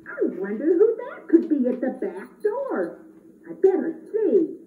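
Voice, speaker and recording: An earnest little elf, voiced by a woman frets kindly in a high, lively singsong. It comes through tinny, from across an echoing room. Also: unhurried, exaggerated voice. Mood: worried. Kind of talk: children's puppet show. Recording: echoey, tinny, distant camera, faint noise.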